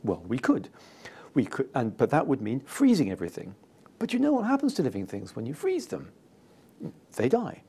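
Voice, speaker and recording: A British man in his fifties explaining as an interview guest, enthusiastic and emphatic, with halting phrasing. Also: mid-range voice, wildly swooping pitch, warm tone, breathy edge. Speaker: interview guest, scientist and author. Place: indoor TV-style studio.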